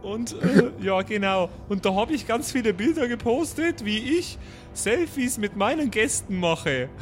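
A man's voice: high-pitched, lively intonation, unhurried, faint music behind. A man improvising casually in character as a player in a tabletop role-playing game.

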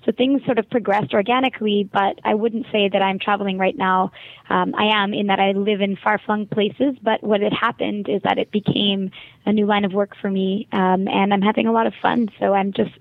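A woman's voice: medium pitch, little pitch movement, fairly quick, dry recording. Canadian woman on a tinny phone line. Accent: Canadian English. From Canada, Montreal. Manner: thoughtful, articulate, laughs easily. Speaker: guest, travel writer. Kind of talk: phone-in interview.

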